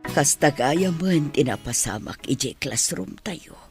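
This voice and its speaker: A woman's voice: low voice, lively intonation, a little slow, over music. A woman playing a gracious, ailing older teacher in an Ilocano radio drama.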